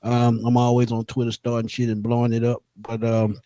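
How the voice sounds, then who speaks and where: Young male co-host, flat delivery, dry mic, joking shout-outs. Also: mid-range voice, fairly quick, dry recording. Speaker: sports podcast co-host. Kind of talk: casual sports chat.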